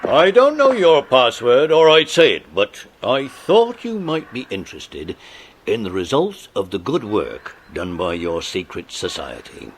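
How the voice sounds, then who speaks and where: An actor playing a hearty, authoritative police sergeant in an audiobook drama. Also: male voice, medium pitch, very animated, unhurried, a little hiss or hum. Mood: jovial, informative. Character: hearty, brisk police sergeant, grateful to child detectives. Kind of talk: audiobook radio-play dramatisation.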